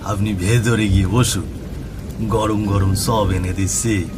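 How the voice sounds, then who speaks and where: A man voicing an eerie, unhurried older ghostly food seller who coaxes slowly, in a horror audio story. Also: fairly low pitch, fairly even intonation. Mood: sly, coaxing. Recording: music bed with rain and thunder.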